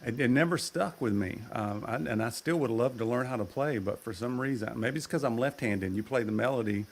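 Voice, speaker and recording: Guy with a low gravelly voice chatting on a video call, bit of background hiss. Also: brisk. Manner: easygoing, rambling with fillers. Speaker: guest. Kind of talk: casual chat.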